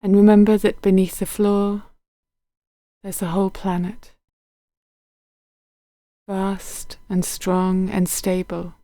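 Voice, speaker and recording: A woman guiding a body scan meditation in a gentle, unhurried, soothing manner, letting her phrases end softly. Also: medium pitch, completely flat delivery, no echo, studio quality. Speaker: meditation guide.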